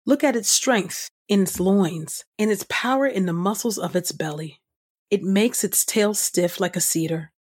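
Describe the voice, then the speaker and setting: A woman host reading scripture aloud from a script in a measured, solemn, clearly enunciated way. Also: fairly low pitch. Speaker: podcast host and scripture reader. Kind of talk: scripted audio Bible reading.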